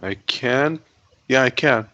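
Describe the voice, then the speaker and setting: Male player thinking aloud, low voice, dry dull lo-fi sound. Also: slow pace, bright, forceful tone, dry recording. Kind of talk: casual game chat.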